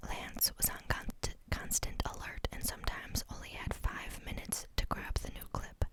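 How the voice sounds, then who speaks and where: A woman reading a book aloud in a soft, unvoiced whisper, steady but sometimes stumbling on a word and starting it again. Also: normal speed. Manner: reads steadily, stumbles and restarts on words. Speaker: reader.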